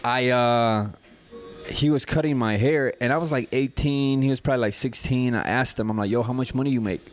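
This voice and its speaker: A man's voice: medium pitch, fairly quick, soft background music. A man, a podcast guest chatting casually and telling stories in a laid-back way. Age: 30s. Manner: storytelling, laid-back, says "you know what I'm saying" often.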